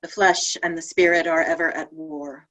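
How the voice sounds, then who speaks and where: A woman playing a saintly martyred spirit in a drama read, speaking in lofty, measured lines, tender yet wry. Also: deep voice, steady tone, bright, forceful tone. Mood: gentle, solemn. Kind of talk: poetic dramatic table read. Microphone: video call.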